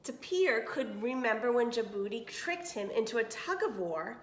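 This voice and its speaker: A woman's voice: a little high, lively intonation, moderate pace. A woman reading a picture book aloud to children as a storyteller, expressively, with little asides and questions. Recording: echoey room.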